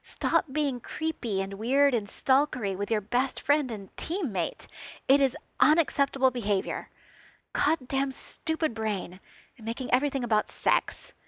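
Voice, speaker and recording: A cocky, wisecracking male inventor, voiced by a woman reading aloud, who throws his lines high and loud with theatrical mock outrage. The delivery is unhurried and the recording is dry and close. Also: expressive, dry recording, heavily acted. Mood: flustered. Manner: quick theatrical teasing, rising loud. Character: brash witty male inventor, mid-life, teasing. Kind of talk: audiobook reading of fan fiction.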